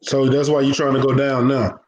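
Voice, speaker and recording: A man hosts a casual interview, asking questions in a loose, friendly way. His voice is mid-pitched. The sound carries a roomy echo. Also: moderate pace, slight background noise. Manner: relaxed, friendly questioning with fillers. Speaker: host.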